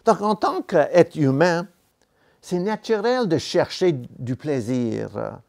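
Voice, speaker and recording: A man preaching a sermon in a church, a non-native speaker of the language, talking slowly and deliberately with pauses and self-corrections. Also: fairly high pitch, sing-song, gravelly, darkish tone. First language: not French.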